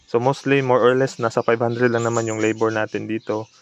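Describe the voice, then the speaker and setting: A man's voice: medium pitch, little pitch movement, a little fast, lo-fi sound. A man narrating a casual repair vlog in a plainspoken way, mixing in English terms. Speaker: electronics repair technician and video host.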